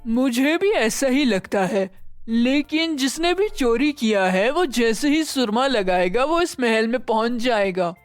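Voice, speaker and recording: A woman playing a gentle, stately queen of the fairies in a children's fairy tale, measured and kindly admonishing. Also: fairly high pitch, animated, no room sound, faint music behind. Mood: concerned.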